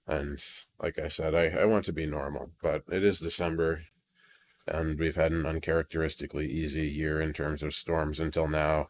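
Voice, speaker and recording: An American man with an extremely low voice talks through a casual solo audio log. He rambles hesitantly and sounds resigned. The home computer recording has some crackling. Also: level tone, normal speed. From Maine, United States.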